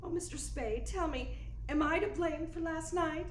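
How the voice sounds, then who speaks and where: A woman's voice: very high-pitched, moderate pace. A woman playing a secretive, helpless-sounding young femme fatale in a noir radio play, with a pleading throb in her delivery. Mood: contrite. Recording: roomy echo, clear background noise.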